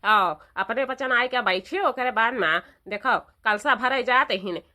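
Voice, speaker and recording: Elderly village matriarch for cartoon female performer, eastern Uttar Pradesh accent, sharp high voice, kindly but bossy. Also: measured pace. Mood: devout, pleased. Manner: questioning, repetitive, instructing. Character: bossy, kindly old village mother-in-law. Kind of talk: cartoon dialogue.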